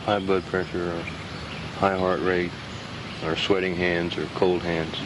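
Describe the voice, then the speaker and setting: Low-voiced man over noisy old outdoor recording. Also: little pitch movement. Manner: halting, with frequent throat clearing. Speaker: interviewee explaining a subject. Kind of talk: informal explanatory talk. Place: outdoors beside a pond. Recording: noisy 1970s reel-to-reel video, outdoor noise.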